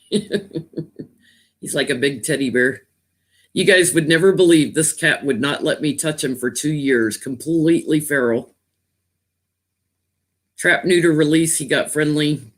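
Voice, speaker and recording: A man with a naturally loud voice, a tarot reader chatting casually on a live stream from home, talking into a laptop from a little way back. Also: fairly high pitch. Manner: chatty and rambling, quick to laugh. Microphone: laptop, sitting back from it.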